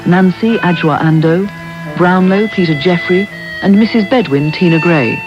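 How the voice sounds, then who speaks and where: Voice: mid-range voice, moderate pace. Radio announcer over prominent music. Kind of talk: reading drama cast credits. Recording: dull radio broadcast tape.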